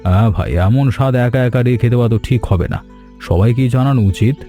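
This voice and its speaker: A man voicing a kindly elderly village man in an animated cartoon, speaking gently and fatherly. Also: mid-range voice, fast, soft background music, close to an everyday voice. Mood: approving. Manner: gentle, fatherly, calls others "ma".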